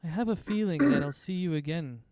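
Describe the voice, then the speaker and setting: A man's voice: sits high, lively intonation, fairly quick, a little mellow, no echo, pitched above the performer's own voice. A man voicing an eccentric, forgetful male potion seller in a tabletop game, talking in a chirpy, theatrical way.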